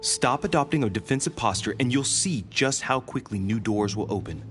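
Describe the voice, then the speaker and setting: A man's voice: medium pitch, expressive, moderate pace, over music, lightly acted. An actor playing an earnest, persistent male investigator in a dramatic video game, speaking in an emphatic, probing, often exasperated way. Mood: frank, challenging.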